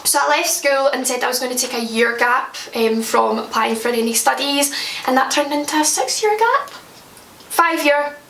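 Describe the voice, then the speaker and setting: A woman's voice: high voice, normal speed, vocal fry, fairly bright tone, echoey room, far from the mic, slight background noise. A Scottish woman in her twenties chatting casually to camera as a makeup vlogger at home, joking about her own slips. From Scotland. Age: 20s. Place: at home, indoors.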